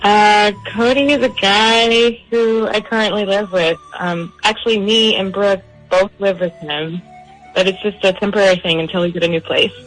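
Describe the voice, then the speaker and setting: A young adult woman with an average-pitched voice. She speaks casually, as someone rung up by a radio show. Faint music plays underneath. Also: steady tone, normal speed, fairly bright tone, rough recording. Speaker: phone caller. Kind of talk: casual phone conversation.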